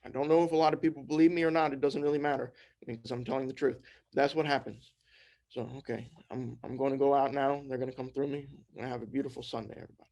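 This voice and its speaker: A man's voice: mid-range voice, little pitch movement, fast, darkish tone. A young man giving an informal, earnest spiritual talk, repeating phrases and restarting often. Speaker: trance channel and speaker. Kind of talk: informal sermon.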